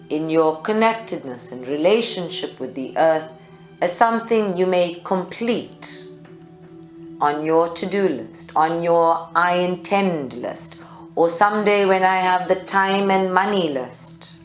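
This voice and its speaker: A woman leads a guided meditation in short, measured phrases with long pauses. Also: fairly low pitch. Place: live group session indoors at a creativity centre. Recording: echoey room, music behind. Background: meditation music.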